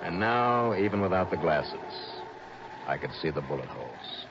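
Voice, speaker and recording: An American actor playing a tough, determined investigator in a 1960s radio detective drama, terse and brisk. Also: male voice, deep voice, lively intonation, background noise. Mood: grim, dramatic. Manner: terse, brisk, hard-boiled. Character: tough, determined male insurance investigator narrating his case. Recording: dull vintage radio recording with music.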